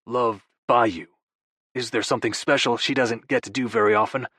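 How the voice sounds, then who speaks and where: A man voicing a young man who speaks in a calm, diplomatic, measured way. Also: mid-range voice, dry recording, studio quality.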